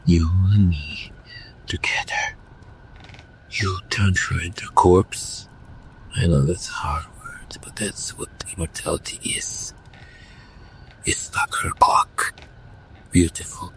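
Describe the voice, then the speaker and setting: A man playing a blunt, brooding young werewolf in a whispered audio drama, talking in slow, hushed tones. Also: low voice, rough recording.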